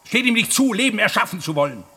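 An actor playing a cautious, God-fearing older professor in a radio drama, with a husky voice and a grave, admonishing manner. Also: male voice, sits high, animated, quick pace, sharp tone, full character voice. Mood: grave warning. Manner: grave, admonishing, swelling and dropping. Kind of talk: German radio drama acting.

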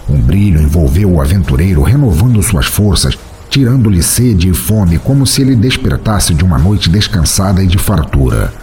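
Deep, dark-voiced Brazilian male narrator. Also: expressive, normal speed, slight background noise. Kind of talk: scripted fantasy story narration.

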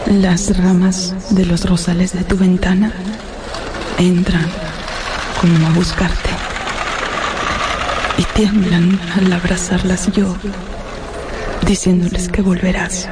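Lovelorn ailing young woman, slow, restrained melody, tender melancholy, radio drama. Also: lowish voice, flat delivery, background noise. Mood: wistful. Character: María, sickly lovelorn young woman writing farewell letters. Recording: music behind the voice.

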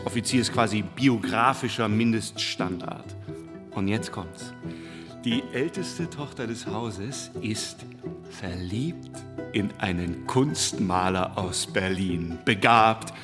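A man in a radio play playing an eager, self-assured TV pitchman, salesy, speaking in choppy emphatic phrases. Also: natural voice. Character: eager, fast-talking TV series pitchman, pushy and self-assured. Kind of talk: naturalistic radio play monologue. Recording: studio voice with music behind.

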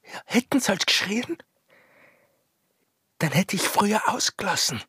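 An actor playing a proud, bitter and smitten border guard in a radio drama, speaking Austrian rural dialect with a hoarse, breathy voice and intense manner. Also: male voice, high-pitched, big pitch swings, measured pace, sharp tone, no room sound, clean studio sound, full character voice. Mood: heated desire.

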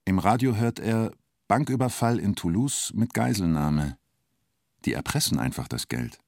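An actor as the narrator of a radio play about a robber, speaking in terse, deliberate, clipped phrases. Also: male voice, on the low side, lively intonation, a little mellow, no room sound, studio quality. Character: male narrator telling a bank robber's story tersely. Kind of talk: radio play narration, short present-tense sentences.

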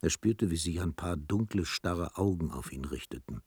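A man with a very deep voice narrates a radio drama. He tells the story in a measured, literary manner, with a very dry studio sound around the voice. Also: animated, darkish tone, husky, dry recording.

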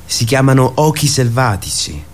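An actor playing a dreamy, earnest young man in a radio play, telling his story with hushed pauses. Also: medium pitch, sing-song, a little hiss or hum, lightly acted. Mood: wondering, earnest. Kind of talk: radio theatre acting.

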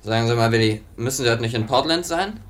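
A man in a 1950s German radio drama playing a brisk, busy, dismissive company boss. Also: mid-range voice, expressive, close to an everyday voice. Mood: brisk, impatient.

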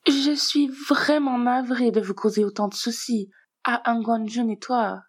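A woman reading the part of a sly, coy, politely sardonic scheming man in a story, measured and dryly teasing. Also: on the high side, fairly even intonation, pitched above the performer's own voice.

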